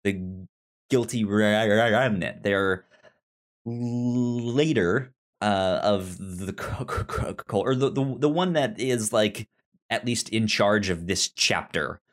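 Halting, slow-paced man chatting casually. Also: on the low side. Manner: halting, stammering with repeated syllables and words. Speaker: podcast co-host. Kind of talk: casual TV discussion chat.

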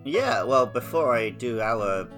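A man's voice: medium pitch, lively intonation, takes it slowly, music in the background. A man co-hosting a podcast, chatting casually with a wry, conversational manner. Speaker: podcast co-host.

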